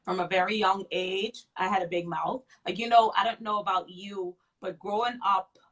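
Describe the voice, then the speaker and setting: Woman in her 30s chatting openly as an interview guest, mid-pitched voice, keeps repeating herself a bit. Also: steady tone, normal speed, a bit bright, rough recording. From Freeport. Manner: candid, talks in repeated short phrases. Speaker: guest, makeup artist. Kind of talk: casual interview conversation.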